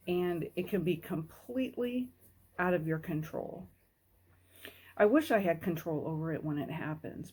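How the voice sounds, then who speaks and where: A woman speaks to her listeners in an earnest, unhurried way, pausing between phrases as she shares her own experience. Her voice is mellow and warm, sitting a little low for a woman. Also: low-quality recording. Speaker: host. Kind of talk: personal talk to camera.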